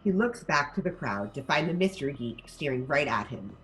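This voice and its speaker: A man's voice: a little high, very animated, a little fast, bright, forceful tone, rough recording. A man reading stage directions as scripted narration for a table read.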